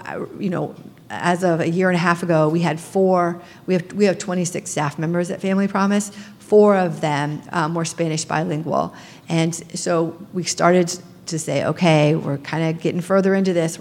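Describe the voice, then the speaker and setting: A woman's voice: lowish voice, gravelly, a little mellow. A woman who runs a nonprofit, talking earnestly to a gathering, with many ums and restarts. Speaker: nonprofit director. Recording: long hall reverb.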